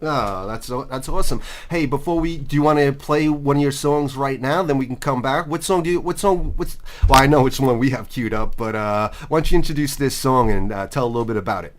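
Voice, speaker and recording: A man's voice: medium pitch, a little fast, few pauses. A man hosting a casual radio show, friendly and rambling, often restarting his questions.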